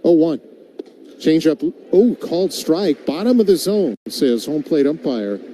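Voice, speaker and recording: Male radio baseball play-by-play announcer, very soft-edged and mellow tone, slightly higher register, live game noise underneath. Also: big pitch swings, a little slow. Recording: live broadcast with clear background noise.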